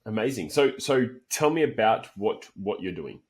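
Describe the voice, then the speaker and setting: A man's voice: on the low side, sing-song, moderate pace. A man hosting a business interview podcast, asking questions in a casual, loose way. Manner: casual, with repeated words and fillers. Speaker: podcast host.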